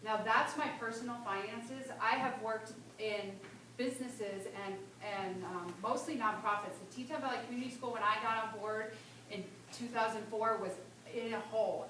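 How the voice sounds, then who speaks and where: A woman's voice: medium pitch, a bit bright, a little hiss or hum. A woman, a political candidate, answering a question at a town forum in an earnest, composed way. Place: community meeting hall. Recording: echoey hall from far off.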